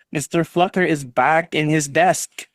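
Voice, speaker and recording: A man's voice: fairly high pitch, takes it slowly, dry recording, studio quality. A man teaching an online English class over a video call, patiently drilling students on pronunciation and encouraging them.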